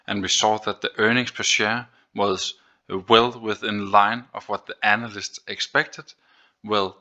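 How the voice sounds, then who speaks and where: A man's voice: on the low side, bright, forceful tone. A man hosting a finance video, explaining figures on screen in a methodical, step-by-step way. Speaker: host of an investing video series.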